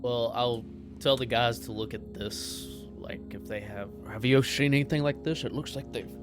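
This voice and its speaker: A man's voice: medium pitch, fairly even intonation, moderate pace. A man talking casually as a player in a tabletop game, describing what his character does. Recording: music behind the voice.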